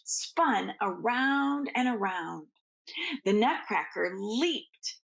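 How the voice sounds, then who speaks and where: A woman reads a picture book to children in an unhurried, creaky voice. She stretches out key words for emphasis and slips into soft, casual asides. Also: mid-range voice, poor audio. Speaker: teacher reading a picture book aloud to children. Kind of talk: expressive read-aloud storytelling with casual asides.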